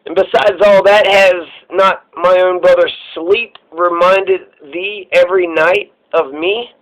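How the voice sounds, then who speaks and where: A man's voice: high-pitched, steady tone, measured pace, voice pushed down, low-quality recording. A man voicing Death as a stern, solemn figure with grave archaic speech in a storybook reading. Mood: stern, reproachful. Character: Death personified, solemn, stern male reaper.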